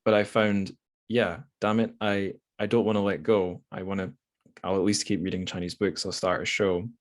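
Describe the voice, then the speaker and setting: A man's voice: low-pitched, flat delivery, moderate pace. A man hosting a podcast, talking casually about books in a rambling, hedging, self-deprecating way. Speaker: podcast host. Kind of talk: casual book discussion.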